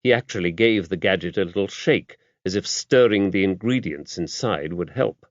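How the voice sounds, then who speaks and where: A man's voice: low-pitched, moderate pace, dry recording. A man reading a mystery novel aloud as a scripted audiobook narration. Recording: dry, dull lo-fi audio.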